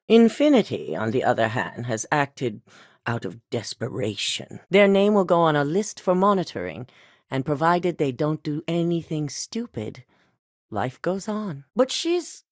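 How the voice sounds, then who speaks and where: An actress playing a cold, commanding corporate executive in a video game, speaking in a curt, authoritative way. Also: female voice, sits low, no echo, clean studio sound. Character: cold, commanding female corporate CEO, middle-aged.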